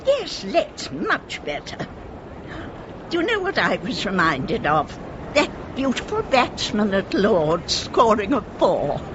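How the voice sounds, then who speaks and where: A genteel upper-class English lady in her eighties, wistful and a little eccentric. She speaks slowly in wide melodic swoops, as in a radio play, over loud vehicle noise. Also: medium pitch. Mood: pleased. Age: 80s. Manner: polite, refined, expressive. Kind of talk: British radio drama acting. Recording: muffled radio recording with heavy vehicle noise.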